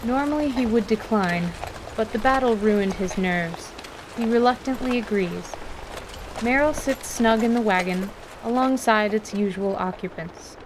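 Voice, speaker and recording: A woman's voice: fairly high pitch, a little slow, background noise. A woman narrating a scripted fantasy story as narrator, with composed, literary delivery. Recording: steady rain ambience behind voice. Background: rain ambience.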